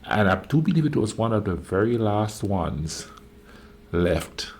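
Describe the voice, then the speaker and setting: A man in his fifties from the Caribbean, reacting to a travel video in a slow, musing way with drawn-out fillers. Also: on the low side, very animated, darkish tone. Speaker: reaction channel host. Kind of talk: casual reaction commentary.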